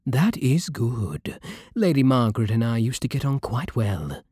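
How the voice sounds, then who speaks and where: A British man playing a courtly, possessive vampire king in an intimate ASMR roleplay, silky, formal and commanding with quiet menace. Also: medium pitch, sing-song, a little slow, no room sound. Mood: courtly, smooth. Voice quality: breathy, husky.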